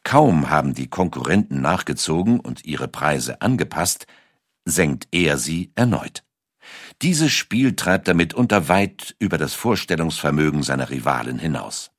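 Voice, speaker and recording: A man's voice: very deep voice, no room sound, clean studio sound. A man reading a scripted documentary narration as its narrator.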